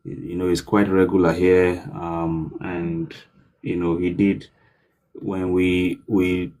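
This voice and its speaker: A man's voice: deep voice, little pitch movement, takes it slowly, a little mellow. A man hosting a livestream panel, talking casually and loosely with many fillers. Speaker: livestream host.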